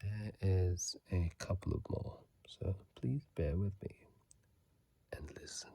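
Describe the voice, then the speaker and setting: A man whispering softly and closely into a phone mic in an unhurried ASMR style, reading and chatting casually about a video game. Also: low-pitched, animated, vocal fry.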